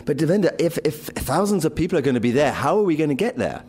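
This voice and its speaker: A man's voice: a little high, sing-song, fast talker, darkish tone. A man hosting a travel show, chatting enthusiastically with a tour guide on location. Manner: enthusiastic, curious. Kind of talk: on-location conversation.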